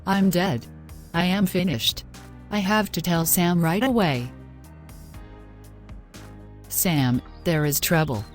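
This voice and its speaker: A female actor plays a devout young girlfriend in distress in a moral-story audio drama. Her phrasing is formal and earnest. The voice is on the low side for a woman, over faint background music. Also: slow pace, long pauses. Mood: anxious, distressed. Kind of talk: scripted moral-story dialogue.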